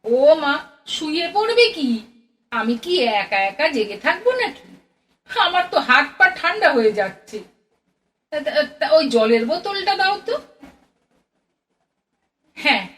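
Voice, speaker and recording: Actress as fussy middle-aged Bengali mum, anxious chatterbox, high voice with big swoops, radio play. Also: female voice, sing-song, unhurried, pauses often, a bit bright, distant mic, exaggerated voice. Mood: fussing, curious. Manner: fretful, exclamatory, homely scolding and chattering. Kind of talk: audio play acting.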